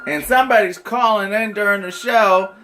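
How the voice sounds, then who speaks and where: A man's voice: high voice, normal speed, pushed and bright, a little hiss or hum. A man hosting a casual cooking video in a home kitchen, joking and chatty. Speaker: cooking vlog host.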